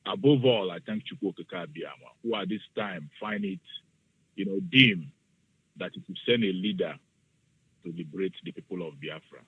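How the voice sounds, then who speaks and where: A man's voice: deep voice, moderate pace, slightly rough, dry recording. A man with a Nigerian accent calling into a radio show, giving a fervent, preachy speech and slipping into Igbo phrases. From southeastern Nigeria. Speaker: radio call-in listener. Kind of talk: impassioned call-in speech. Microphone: phone. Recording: tinny phone line.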